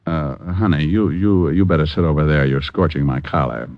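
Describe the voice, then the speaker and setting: An actor playing a wry, glib private detective in an old-time radio drama. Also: male voice, rumbling low, fairly quick, no echo. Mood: flustered. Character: wisecracking, easily flustered male private detective. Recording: muffled old radio recording.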